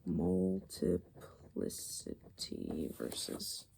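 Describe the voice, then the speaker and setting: A woman doing soft, rambling ASMR, musing hesitantly as she thinks aloud, her voice fading toward whispers. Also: very deep voice, animated, drawn-out words, vocal fry, slight background noise. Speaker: ASMR video creator.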